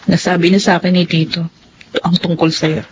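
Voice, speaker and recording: A woman with a Filipino accent playing a grieving, earnest elder sister in a radio drama, speaking haltingly and tearfully. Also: fairly low pitch, flat delivery, a little hiss or hum, close to an everyday voice. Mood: grave, subdued. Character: grieving eldest sister, bereaved mother, pleading and forgiving.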